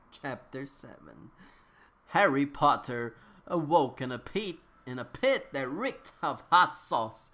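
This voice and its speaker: A male narrator with a mid-pitched voice reads an absurd story aloud. He performs it theatrically, stretching phrases out with mock gravity, as a comic dramatic reading. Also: bright, forceful tone.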